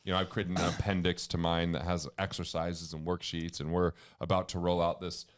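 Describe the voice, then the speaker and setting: A man with a booming voice, a financial author and comedian, talking earnestly and emphatically as a guest in an interview. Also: flat delivery, brisk. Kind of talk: conversational interview.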